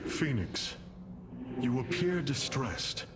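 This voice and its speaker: A man playing a solemn, noble alien warrior leader in a video game, speaking gravely and formally. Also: mid-range voice, sing-song, slow pace, heavy background noise. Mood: grave, reflective. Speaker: commander of an alien army. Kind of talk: video game cinematic dialogue. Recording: orchestral score behind the voice.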